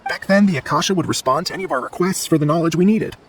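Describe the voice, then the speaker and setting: Fast-talking, jittery young male researcher, acted out. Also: a little high, a little hiss or hum, lightly acted. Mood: candid. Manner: hesitant. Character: nervous, chatty young male researcher, hesitant and candid. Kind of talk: video game voice acting.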